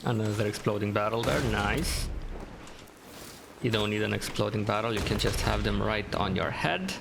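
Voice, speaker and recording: A man's voice: lowish voice, normal speed, gravelly. A man giving casual, easygoing let's play commentary as a streamer, talking to himself over game sound. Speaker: gaming streamer. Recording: game sound and noise behind.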